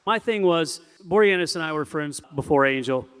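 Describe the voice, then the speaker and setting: A man on a fan panel speaking casually in a halting way, correcting himself as he goes. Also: fairly high pitch, lively intonation, a bit bright, smooth. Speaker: actor panelist.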